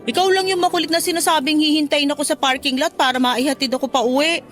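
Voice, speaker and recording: A woman's voice: high voice, steady tone, quick pace, fairly bright tone, soft background music. An actress playing a cheeky young career woman who banters with her best friend in a radio drama, teasing playfully. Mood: mock indignant. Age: young adult. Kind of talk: Tagalog radio drama acting.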